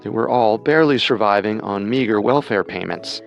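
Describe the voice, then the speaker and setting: Low-voiced man narrating true crime. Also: moderate pace, gravelly. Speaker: co-host narrator. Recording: music under the voice.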